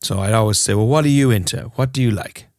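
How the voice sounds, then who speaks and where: An Irish man with a fairly low voice talks casually as an interview guest, recorded dry and close. His speech is colloquial, and he slips into quoted exchanges as he explains things. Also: quick pace, darkish tone, dry recording. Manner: colloquial, acts out imagined exchanges with clients. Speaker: interview guest, wedding band musician. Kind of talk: casual chat.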